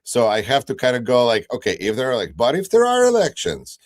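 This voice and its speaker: A man's voice: mid-range voice, huge pitch swings, brisk. A man whose first language is Russian, talking politics casually on a livestream, hesitant with many fillers and restarts. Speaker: guest commentator. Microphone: remote livestream call.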